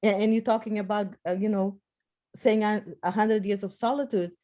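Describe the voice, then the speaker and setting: Portuguese-born woman with a mellow voice. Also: medium pitch, a little fast. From Portugal and Spain. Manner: animated, laughs while telling a story. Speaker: political science professor. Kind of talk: workshop discussion over video call. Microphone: video call.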